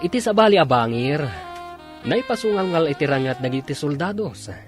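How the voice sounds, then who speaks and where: A man's voice: a little high, animated, fairly quick, music in the background. A man narrating and announcing a radio drama from a script.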